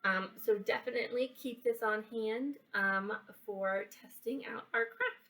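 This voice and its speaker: A woman giving a casual how-to science and craft demonstration for children, explaining in a halting way and checking in as she goes. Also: a little high, a bit bright, far from the mic. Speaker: library children's program presenter. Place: indoors, in a library building.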